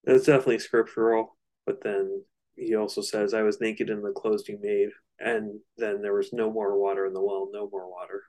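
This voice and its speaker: A man's voice: on the low side, level tone, normal speed, darkish tone, low-quality recording. A man co-hosting a casual album discussion, explaining things in a loose, slightly hesitant way. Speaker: podcast co-host.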